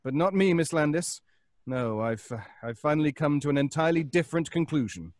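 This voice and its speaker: A man's voice: fairly high pitch, lively intonation, darkish tone, no echo, studio quality, close to an everyday voice. An actor playing a haunted, sleepless, obsessive man, anxious and stammering, in old-time radio suspense style. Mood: brooding, intense. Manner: halting, stammering, pleading, melodramatically poetic.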